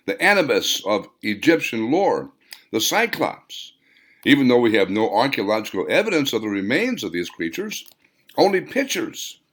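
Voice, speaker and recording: A man's voice: medium pitch, fairly bright tone, pitched above the performer's own voice, heavily acted. A man voicing a long-winded, pedantic fat man who lectures pompously in an audiobook.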